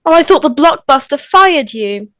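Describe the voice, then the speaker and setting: A woman acts out a feisty high-school girl from a slasher story, blunt and sassy. Her voice is high and swings around animatedly, with a dry, close sound. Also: expressive, normal speed, fairly bright tone, dry recording. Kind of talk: live table read of a slasher film script.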